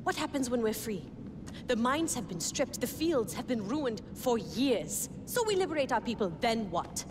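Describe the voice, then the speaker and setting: An actress playing a firm, pragmatic rebel leader in a dramatic video game. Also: female voice, on the high side, sing-song, moderate pace. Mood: argumentative. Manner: firm, insistent. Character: determined, pragmatic female rebel leader arguing hard. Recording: clear noisy game ambience behind.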